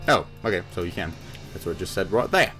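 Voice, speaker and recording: Animated low-voiced gamer guy. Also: fast talker. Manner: joking, sarcastic. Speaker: let's play host. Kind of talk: casual gameplay commentary. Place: small room indoors. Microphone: headset. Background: game music.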